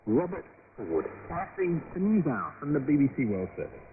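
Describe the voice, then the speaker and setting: A man's voice: a little high, big pitch swings, normal speed. A man presenting and interviewing on a broadcast news programme, heard over shortwave radio. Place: radio studio. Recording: crackly shortwave radio, narrow band, static noise.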